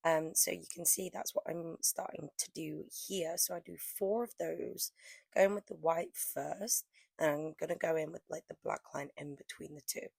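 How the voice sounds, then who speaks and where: A woman's voice: low-pitched, steady tone, normal speed, darkish tone, no echo. A young woman losing her voice to a cold, rambling casually with lots of fillers as she talks through her nail art. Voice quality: hoarse, croaky. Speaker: press-on nail artist. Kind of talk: casual chatty vlog narration.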